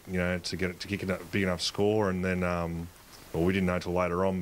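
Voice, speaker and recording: Low, flat-voiced football coach. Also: male voice, slight background noise. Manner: halting, many fillers and restarts. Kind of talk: post-match interview.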